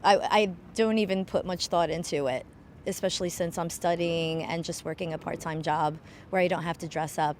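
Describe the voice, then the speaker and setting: A woman's voice: fairly low pitch, fairly even intonation, brisk, darkish tone, a little hiss or hum. A woman answering a street interview in a chatty, informal way.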